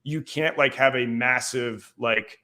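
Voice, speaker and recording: A man's voice: medium pitch, slow pace, pushed and bright. Irish man talking history, lots of fillers. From Ireland. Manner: rambling, many fillers like "like" and "um". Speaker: guest historian. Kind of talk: casual podcast discussion.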